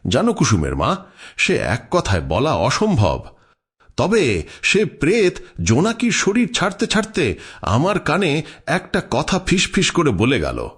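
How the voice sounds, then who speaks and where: Husky, wildly swooping village exorcist father played by a man. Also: mid-range voice, heavily acted. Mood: dramatic recounting. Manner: theatrical, emphatic. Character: village exorcist father, bold, protective and dramatic. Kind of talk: dramatised horror cartoon dialogue.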